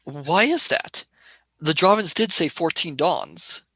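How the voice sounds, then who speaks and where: A man's voice: a little high, animated, slow, sharp tone, slightly rough, dry recording, full character voice. A man playing an eager, earnest young space traveller's companion in an amateur radio play.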